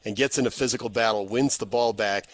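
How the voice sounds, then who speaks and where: A man's voice: fairly low pitch, fairly even intonation, quick pace, dry recording, rough recording. An American man working as a sports radio host, interviewing guests and reading promos. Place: radio studio.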